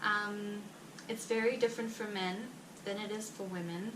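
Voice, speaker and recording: A heavily pregnant woman with a South African Afrikaans accent, chatting casually to her viewers in a rambling way with many fillers and quick laughs. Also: mid-range voice, fairly even intonation. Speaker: vlogger. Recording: roomy, distant mic with background noise.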